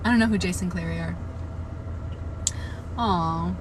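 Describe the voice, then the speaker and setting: A young woman chatting casually on a live stream about books, frank and swearing freely, her voice a bit hoarse from overuse. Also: on the low side, a little slow, noisy background. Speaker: book livestreamer.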